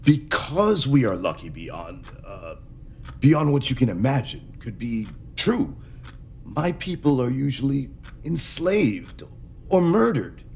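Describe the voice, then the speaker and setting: Halting, anxious alien man played by an actor. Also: medium pitch, very animated, gravelly, a little mellow, full character voice. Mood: pleading. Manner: hesitant, halting, earnest. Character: anxious, secretive alien dignitary pleading for his endangered people. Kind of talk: science fiction audio drama acting.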